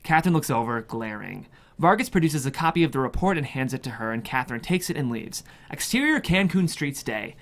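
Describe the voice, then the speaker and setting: A man's voice: medium pitch, sing-song, fairly quick, fairly bright tone. A man reading a screenplay's stage directions as scripted narration. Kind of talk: scripted narration at a table read.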